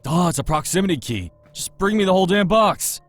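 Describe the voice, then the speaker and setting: A man voicing a cocky, reckless, excitable motorhead in a visual novel game. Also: sits high, a little fast, soft background music. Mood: dismissive, brisk. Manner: brash, excitable, swearing.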